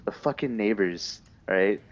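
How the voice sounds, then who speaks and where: A young man with a low voice rambles through casual gamer chat. He complains and swears freely, over dull, lo-fi voice-chat audio. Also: unhurried. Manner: profane, griping, rambling. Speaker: gamer telling stories while playing. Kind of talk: casual chat during online gameplay.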